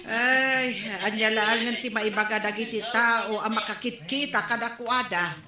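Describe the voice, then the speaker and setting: A chatty Ilocano village woman, voiced by an actress, with a slightly high pitch. She talks in a giggly, neighborly way. The voice sounds echoey. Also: measured pace, a crowd in the background, close to an everyday voice. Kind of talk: radio comedy drama acting.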